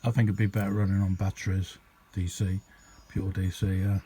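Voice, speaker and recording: A British man with a lowish, very mellow and relaxed voice talks casually through an informal demonstration. He rambles and hesitates, unscripted and easygoing. The amateur home recording has clear background noise. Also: brisk. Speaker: hobbyist radio demonstrator.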